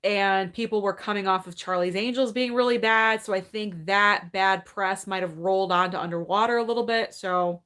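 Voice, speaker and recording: A woman's voice: mid-range voice, moderate pace, few pauses, fairly bright tone. A woman in her thirties hosting a casual live movie Q&A at home, chatty and upbeat, reading viewer comments and thinking aloud. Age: 30s. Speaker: movie channel host.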